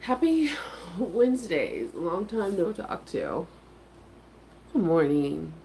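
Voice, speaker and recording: Stuffed-up woman with a cold chatting to viewers, low and gravelly, faint hiss behind. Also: sing-song, takes it slowly, mellow. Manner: rambling, chatty, many fillers. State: congested with a cold. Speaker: vlogger and craft vendor. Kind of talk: casual morning chat to viewers.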